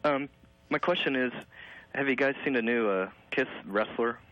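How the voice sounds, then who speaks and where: A man's voice: mid-range voice, normal speed, fairly bright tone, no echo, dull audio. A man calling in to a radio show with a question, eager and friendly. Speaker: caller.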